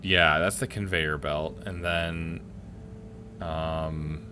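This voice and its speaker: A man's voice: extremely low pitch, steady tone, extremely slow, noisy background. A man hosting a gaming video, commenting casually and muttering reactions to himself as he plays. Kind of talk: casual let's play commentary, reading game text aloud.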